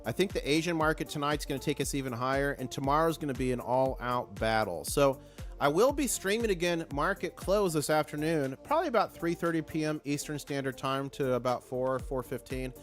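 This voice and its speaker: Hoarse, excitable live stream host. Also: male voice, on the high side, fairly quick, faint music behind. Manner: excitable, chatty, reads chat aloud. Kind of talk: casual live stream chat.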